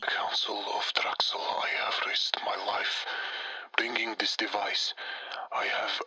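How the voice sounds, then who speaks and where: Man acting a hushed, fearful senator. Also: lowish voice, flat delivery, slow pace, in a whisper, full character voice, rough recording. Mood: hushed, urgent. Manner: hushed, grave, urgent. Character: fearful senator secretly sending a grave warning. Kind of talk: audio drama acting. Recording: loud music under the voice.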